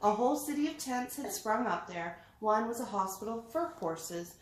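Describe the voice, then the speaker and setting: A woman reading a children's storybook aloud with lively, dramatic expression. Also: fairly high pitch, moderate pace. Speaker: read-aloud reader. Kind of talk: animated children's storybook narration. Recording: roomy echo, faint background noise.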